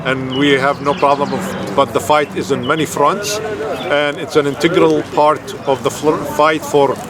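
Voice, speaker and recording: A man's voice: a little high, fairly even intonation, moderate pace. A man from Palestine, an activist, speaking earnestly in a street interview at an outdoor rally. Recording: outdoor recording with loud crowd.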